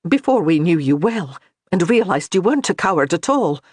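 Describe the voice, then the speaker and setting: A reader voicing a contrite young Highland clanswoman who speaks hesitantly and deferentially. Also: sing-song, normal speed, a little mellow, slightly rough. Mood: sheepish.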